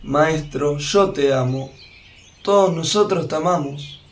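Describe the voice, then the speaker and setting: An actor playing a tender, devoted young disciple who speaks with concern in a religious radio drama. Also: male voice, a little high, slow pace. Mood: loving concern.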